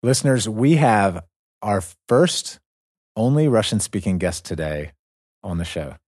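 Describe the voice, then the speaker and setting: Low-voiced man co-hosting a podcast. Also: lively intonation, a little slow, darkish tone, no echo, studio quality. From United States. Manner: eager, restarts his sentences often. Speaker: podcast co-host. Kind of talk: casual podcast chat.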